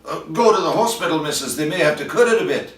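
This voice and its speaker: A man voicing a plain-spoken, brisk husband in a recited comic ballad. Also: on the high side, animated, reverberant, recorded from a distance, pitched above the performer's own voice, close to an everyday voice, rough recording. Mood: brusque.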